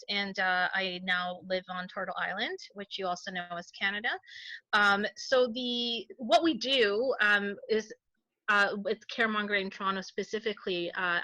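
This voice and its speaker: A woman's voice: medium pitch, bright, forceful tone, dry recording, dull audio. A woman from Chile, a community organizer on an online panel, speaking earnestly and steadily with many fillers.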